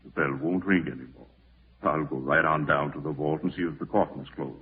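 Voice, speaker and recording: An actor playing a calm, authoritative doctor, speaking in the measured, formal manner of 1940s radio drama. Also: male voice, rumbling low. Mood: soothing. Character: calm, authoritative male doctor and estate executor. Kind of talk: 1940s radio horror acting. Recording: tinny, distant old radio broadcast recording.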